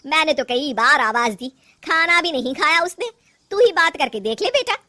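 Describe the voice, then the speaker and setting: A loud, bossy older mother-in-law from a cartoon storybook, voiced by a woman narrator. She calls out in a high, lively voice. She gives orders in a commanding, full-throated way. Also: normal speed. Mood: warm.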